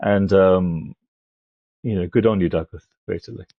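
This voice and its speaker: A man's voice: low-pitched, fairly even intonation, normal speed, no echo, narrow, tinny audio. A man speaking as a podcast guest in thoughtful critical discussion, often restarting his phrases as he goes.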